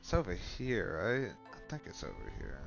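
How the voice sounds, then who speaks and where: A man's voice: on the low side, wildly swooping pitch, moderate pace, gravelly. A man doing casual let's play commentary on a video game, informal and muttering to himself. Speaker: gaming video host. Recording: game music behind.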